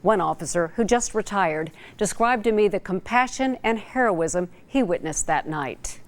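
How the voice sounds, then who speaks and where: A woman's voice: medium pitch, lively intonation, moderate pace. A woman with an American accent reading scripted broadcast news as an anchor.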